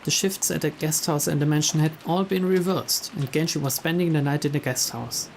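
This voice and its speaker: A man's voice: on the high side, brisk, creaky. Man reading visual novel narration aloud. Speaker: narrator, game playthrough host. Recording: faint rain noise behind.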